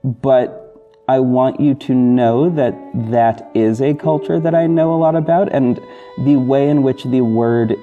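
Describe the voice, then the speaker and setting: A man hosting a podcast, talking casually and apologetically to listeners in a halting, self-correcting, rambling way. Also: mid-range voice. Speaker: podcast host and audio drama creator. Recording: dull lo-fi recording with music bed. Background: soft guitar backing music.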